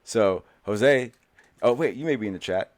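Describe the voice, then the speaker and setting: Man hosting, low-pitched, excitable, repeats himself. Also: normal speed. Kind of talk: casual chat.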